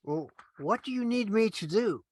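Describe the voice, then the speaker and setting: A man's voice: high-pitched, lively intonation, moderate pace, darkish tone, dry recording, studio quality. A man playing an eager, naive, kindly junior angel in old-time radio play style, with earnest, exclaiming delivery. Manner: earnest, exclaiming, swooping intonation.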